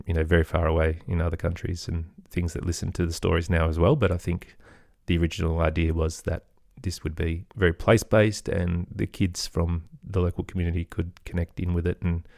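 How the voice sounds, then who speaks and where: A man's voice: sits low, gravelly, darkish tone, dry recording, clean studio sound. A middle-aged man giving thoughtful, earnest answers as an interview guest in a conversational way. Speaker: interview guest, podcaster and musician.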